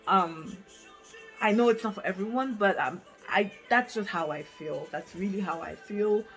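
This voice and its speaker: A woman's voice: medium pitch, normal speed, fairly bright tone, faint music behind, rough recording. A woman in her late twenties from Africa, talking candidly to camera in a casual vlog, thinking aloud with lots of fillers and restarts. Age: 20s. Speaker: vlogger. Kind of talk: casual reflective talk to camera. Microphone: camera mic, reading from notes.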